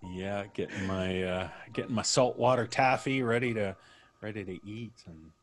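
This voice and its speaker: A man's voice: fairly low pitch, normal speed. A man hosting a live show, chatting casually and introducing a story with a theatrical storyteller's delivery. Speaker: podcast host.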